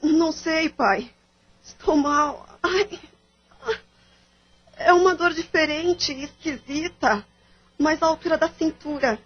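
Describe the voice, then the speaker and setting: A woman plays a young daughter in an audio drama, plaintive and dutiful. Her voice is high-pitched, and the recording is slightly dull. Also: slow pace, long pauses. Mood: pained, plaintive.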